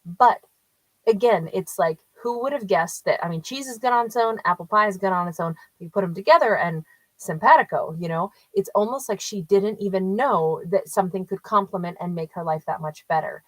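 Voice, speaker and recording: A woman's voice: mid-range voice, a little fast, no room sound, poor audio. A chatty woman tarot storyteller who talks casually with live viewers and laughs often. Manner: rambling with frequent restarts and fillers. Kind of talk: casual livestream reading and chat with viewers.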